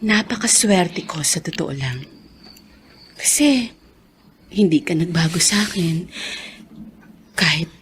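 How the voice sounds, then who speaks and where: An actress playing a grateful, loving wife in a Filipino radio drama, speaking tenderly and a little coyly. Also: female voice, lowish voice, lively intonation, slow, pauses often, slight background noise. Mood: moved, grateful. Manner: tender, coy. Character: grateful, loving childless wife, married fifteen years.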